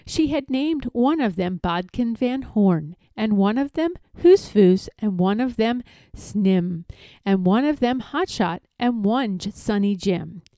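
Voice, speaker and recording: A woman reading rhyming children's stories aloud in an expressive, theatrical storytelling manner. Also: medium pitch, slow, dry recording. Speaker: storybook reader.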